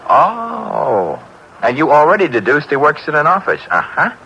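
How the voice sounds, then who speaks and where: A breezy, wisecracking sidekick detective. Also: male voice, on the high side, wildly swooping pitch, normal speed, vocal fry, sharp tone, slight background noise, full character voice. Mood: wry. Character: wisecracking young male assistant detective. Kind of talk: 1940s radio acting. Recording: dull lo-fi old radio recording.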